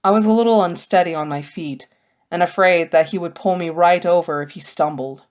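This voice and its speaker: A woman's voice: on the low side, a little fast, a little mellow. A woman narrating a scripted first-person story as an audiobook reader.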